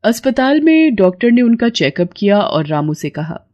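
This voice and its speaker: A woman's voice: medium pitch, sing-song, normal speed, warm tone, dull audio. A woman narrating a scripted moral tale as storyteller.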